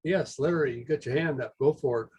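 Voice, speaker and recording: A man with a husky voice moderating an online meeting in a casual, folksy way. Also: mid-range voice, fairly quick, a little mellow. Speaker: session moderator.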